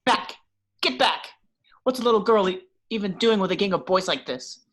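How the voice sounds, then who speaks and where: Woman playing a shrill, hysterical mother. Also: animated, normal speed, fairly bright tone, close to an everyday voice. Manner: shrill, scolding. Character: hysterical overprotective middle-aged mother. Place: home.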